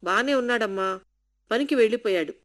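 A woman's voice: a little high, brisk, close to an everyday voice. A woman reading the part of a kind, caring housemaid who coaxes and comforts. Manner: warm, coaxing.

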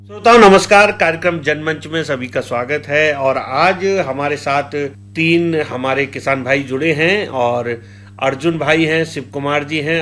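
Fairly high-voiced male radio moderator. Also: a bit bright. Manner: polite, guiding questions. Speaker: host and moderator. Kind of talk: radio panel discussion.

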